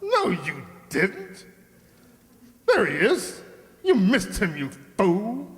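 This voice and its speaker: A man's voice: high-pitched, huge pitch swings, drawn-out words, husky, full character voice. A man playing a cold, scheming crime boss in a radio drama, pompous, menacing and imperious. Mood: urgent, furious. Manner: pompous, menacing, theatrical delivery. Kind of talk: old-time radio drama acting. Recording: long hollow reverb.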